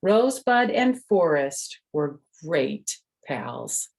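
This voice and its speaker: Older lady storyteller, lowish voice, reads kids book gently, chats n asks little questions. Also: very animated, very slow, gravelly. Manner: gentle, simple explaining, asking the listener questions. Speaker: storyteller and host. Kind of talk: reading a picture book aloud to children with chatty asides.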